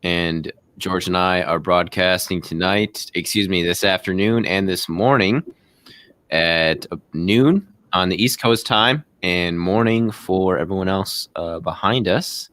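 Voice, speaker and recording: Deep smooth-voiced man hosting a show. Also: slow pace, fairly bright tone. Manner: chatty, self-correcting. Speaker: show host. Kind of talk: casual show opening.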